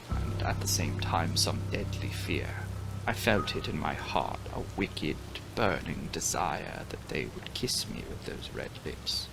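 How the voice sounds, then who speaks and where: A man with a fairly low, creaky voice narrates a gothic novel. His reading is theatrical and very varied in melody, dropping into hushed phrases and swelling for emphasis. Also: low-quality recording. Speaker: audiobook narrator.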